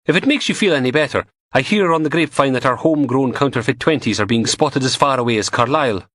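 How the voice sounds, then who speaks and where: A man's voice: mid-range voice, fast, fairly bright tone, no echo, pitched above the performer's own voice, close to an everyday voice. A man voicing a cheeky, teasing young goth woman who works in forensics. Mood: wry.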